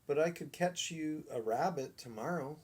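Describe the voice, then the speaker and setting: A man's voice: a little high, normal speed, darkish tone, breathy edge, pitched above the performer's own voice. Man playing an earnest, pleading talking dog. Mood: honest, hopeful. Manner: earnest and beseeching. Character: eager, honest, pleading big talking dog. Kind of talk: children's storybook read aloud.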